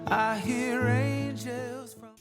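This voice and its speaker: A woman's voice: on the high side, steady tone, extremely slow. A woman singing a tender gospel song. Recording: sung over loud guitar music.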